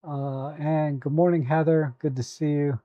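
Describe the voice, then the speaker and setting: A hoarse man hosting a live photo editing tutorial, talking at an easy, halting pace with many fillers while answering viewers. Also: fairly high pitch, steady tone, no echo, lo-fi sound. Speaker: photo editing livestream host.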